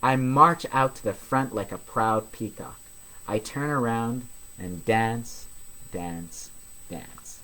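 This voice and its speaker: A man reads a children's picture book aloud as host of a story session. His delivery is expressive and geared to young listeners, at a middling pitch. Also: slow, rough recording.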